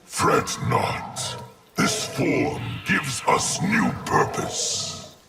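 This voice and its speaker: An actor voicing a grave, menacing undead construct of many souls, with a raspy growl and solemn, formal delivery for a fighting game. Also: male voice, drawn-out words, bright, forceful tone, faint music behind. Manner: solemn, formal, speaks of himself as we. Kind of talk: video game fight dialogue.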